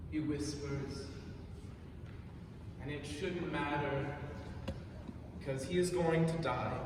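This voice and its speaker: A man performs a poetic monologue live. His delivery is very slow, measured and incantatory, with a mid-range pitch. The sound is distant and noisy, washed in heavy echo. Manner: measured, deliberate, incantatory recitation with repeated phrases. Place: large reverberant museum space, live, distant mic. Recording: distant, noisy, very echoey museum hall.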